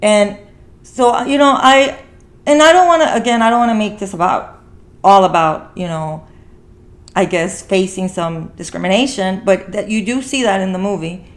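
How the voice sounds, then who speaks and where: A woman's voice: medium pitch, moderate pace. A woman hosting a casual movie review talk, speaking earnestly and repeating phrases for emphasis. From Mexican heritage. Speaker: talk show host.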